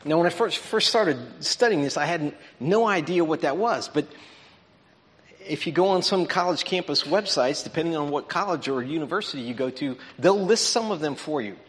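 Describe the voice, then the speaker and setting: A man's voice: fairly high pitch, animated, normal speed, fairly bright tone. A man preaching a lesson in a conversational, explanatory way, checking in with his listeners as he goes. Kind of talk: sermon lesson. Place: large auditorium. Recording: long reverb in an auditorium.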